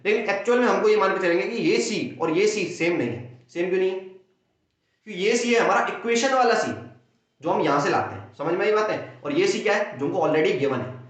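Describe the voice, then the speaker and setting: Young male maths teacher, fast talking, energetic, sore throat, very echoey room. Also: high voice. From India. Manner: energetic, acts out students' questions and answers himself. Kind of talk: fast Hindi exam-prep lesson. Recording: echoey room, dull lo-fi sound.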